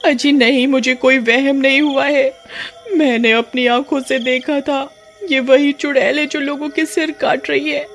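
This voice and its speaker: A woman's voice: high voice, steady tone, measured pace, a bit bright, soft background music, pitched above the performer's own voice. A woman voicing a frightened, insistent village wife in a cartoon. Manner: alarmed, insistent, pleading.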